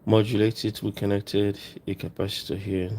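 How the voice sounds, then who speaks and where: Gravelly, even-toned man giving a tutorial. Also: fairly low pitch, moderate pace. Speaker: presenter of a DIY electronics tutorial. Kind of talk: casual hands-on tutorial talk, explaining as he works.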